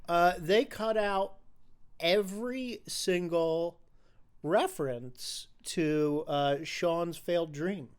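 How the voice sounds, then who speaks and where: A man co-hosting a film podcast in casual discussion, talking haltingly and often repeating his phrases. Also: sits high, clear, resonant tone.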